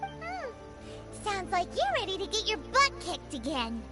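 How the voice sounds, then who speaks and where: A woman voicing a tiny, chatty female companion in a game cutscene, cheeky and excitable, with music playing behind. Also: unhurried, full character voice. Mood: smug. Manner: squeaky, teasing, excitable. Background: background music.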